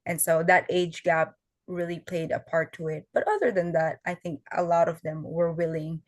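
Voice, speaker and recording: Young woman, tagalog first language, lowish voice, talks it through earnestly, dry sound. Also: normal speed, no echo. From Filipino family. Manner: earnest, explains step by step with fillers. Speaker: oral history fellow. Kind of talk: conversational interview answers.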